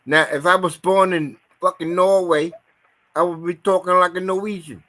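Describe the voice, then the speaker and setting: A man's voice: high voice, fairly even intonation, moderate pace, pushed and bright. Blunt, profane American man. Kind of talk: casual podcast banter.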